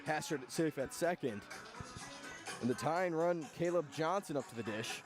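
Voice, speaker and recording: Male sportscaster for live baseball radio, mid-range voice, clear music bed underneath. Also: fairly high pitch, expressive, moderate pace. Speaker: radio play-by-play announcer. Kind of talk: live baseball play-by-play commentary. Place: outdoors at a baseball park.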